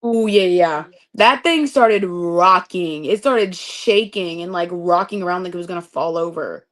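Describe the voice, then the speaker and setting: A young adult woman telling a story in casual conversation, expressive and full of vivid detail. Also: lowish voice, unhurried.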